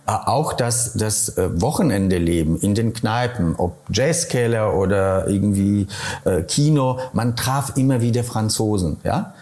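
A middle-aged man from Silesia with a Polish accent, answering interview questions thoughtfully and pausing often to find his words. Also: lowish voice, darkish tone. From Poland, Silesia. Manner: thoughtful, searching for words, many hesitations.

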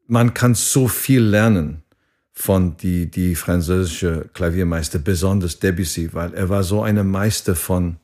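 A man. He speaks very slowly as an interview guest, reflecting haltingly and searching for words in a language that is not his first. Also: deep voice, darkish tone. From England. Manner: halting, searching for words in non-native speech.

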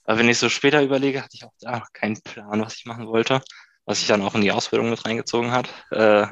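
A young man in his late twenties, from Berlin, talks through his past in an easy, halting way, with fillers and quick laughs in between. His voice sits at a middle pitch. The sound is dry and close, with no room around it. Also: no room sound, dull audio. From Berlin, Germany. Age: 20s. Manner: relaxed, hesitant, with many fillers and short laughs. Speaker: guest. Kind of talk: casual interview chat.

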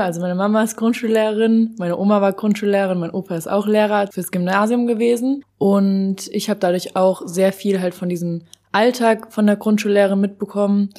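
A woman's voice: medium pitch, mellow. A twenty-year-old woman, a student teacher, answering thoughtfully with a few fillers. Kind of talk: casual interview answers.

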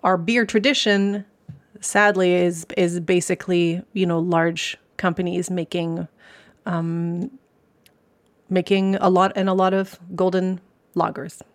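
Woman, forties, from Canada, podcast guest, chatty, thoughtful, lowish voice. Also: little pitch movement, a little slow. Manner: chatty, thoughtful, many fillers. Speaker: beer sommelier guest. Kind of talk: podcast interview.

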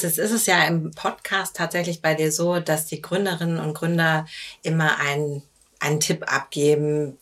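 Woman interviewer, lower-pitched, friendly and lively in her questions. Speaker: interviewer, community manager.

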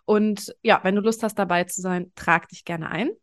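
A woman's voice: medium pitch, fairly even intonation, normal speed. A woman in her thirties hosting a conversational podcast interview in an informal, enthusiastic way. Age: 30s. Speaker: podcast host.

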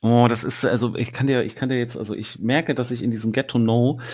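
A man's voice: medium pitch, fairly even intonation, quick pace, darkish tone. A man with a northern German accent hosting a casual get-to-know chat, rambling and often breaking off mid-sentence. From Hamburg. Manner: rambling, chatty, self-interrupting. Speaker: host.

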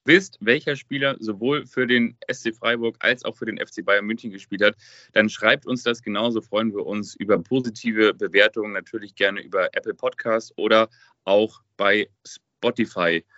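A man chats casually as co-host of a football podcast. His mid-range voice is bright and crisp-edged. The recording is very dry and a little dull. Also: on the low side, steady tone, normal speed, dry recording. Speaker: podcast co-host and quiz contestant.

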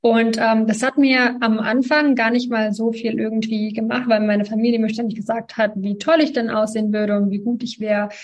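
A woman in her twenties from Ukraine, telling her story as a guest in a relaxed interview, talking with lots of fillers and small laughs. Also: fairly high pitch, moderate pace, hardly any pauses. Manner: chatty, many fillers, laughs between sentences. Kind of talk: casual interview talk.

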